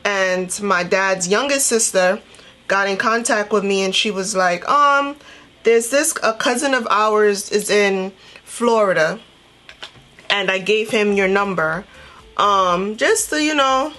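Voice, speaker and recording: A woman's voice: medium pitch, slow, sharp tone, soft background music. Chatty woman vlogger telling a story. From Trinidad and Tobago. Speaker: beauty vlogger. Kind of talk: casual chatty storytelling while doing makeup.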